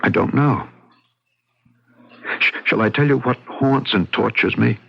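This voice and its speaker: A man's voice: medium pitch, measured pace, gravelly, slightly rough. An actor playing a troubled, melancholy man in an old radio drama, telling his story in brooding, literary phrases. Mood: anguished, uneasy. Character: troubled, melancholy man recovering from nervous breakdown. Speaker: actor narrating and playing the lead. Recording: muffled old radio broadcast with music.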